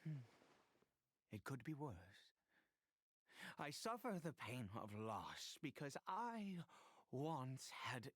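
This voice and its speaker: An actor playing a grief-stricken, centuries-old cursed nobleman in a video game, with halting, formal speech and nervous stammers. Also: mid-range voice, big pitch swings, dry recording. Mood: bittersweet, wistful. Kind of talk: dramatic video game voice acting.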